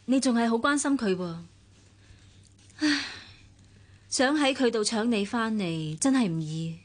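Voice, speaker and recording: An actress with a Hong Kong Cantonese accent playing a poised, jealous longtime companion in a radio drama, earnest and pressing. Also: female voice, a little high, measured pace.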